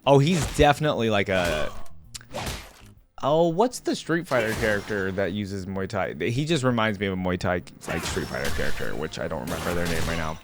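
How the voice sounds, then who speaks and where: A man's voice: low voice, lively intonation, brisk, faint music behind. Casual man reacting to game content. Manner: conversational, thinks aloud. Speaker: reaction video host.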